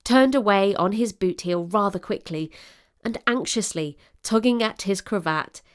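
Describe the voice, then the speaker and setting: A woman narrator reading a scripted period drama in an expressive, literary storytelling manner. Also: mid-range voice, unhurried.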